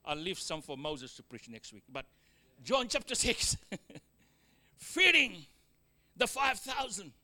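A man with a Fijian accent preaching a sermon, calling out amens to the congregation, mixing joking asides with exhortation and swinging from loud to quiet. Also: high voice, sing-song, takes it slowly. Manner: exhorting, with frequent amens and call-outs to the congregation.

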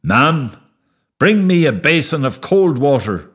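A man voicing a calm, practical father in a story, speaking in a measured, reassuring manner. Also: medium pitch.

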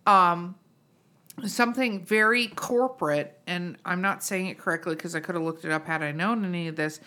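A woman's voice: on the low side, normal speed, bright, forceful tone. A woman hosting a podcast in casual chat, blunt, teasing and profane. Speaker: podcast host.

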